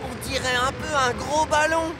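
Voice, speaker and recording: A woman's voice: high voice, normal speed, fairly bright tone. A woman voicing a cheeky, curious young tank engine in a children's cartoon, frank and chatty. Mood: friendly. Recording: music and vehicle sounds behind.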